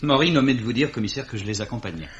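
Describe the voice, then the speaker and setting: An actor playing an earnest, fussy young English gentleman, plaintive and polite, in 1950s French radio drama style. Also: mid-range voice, lively intonation, rapid-fire, slight background noise, close to an everyday voice. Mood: sheepish. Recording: dull, slightly rough old radio recording.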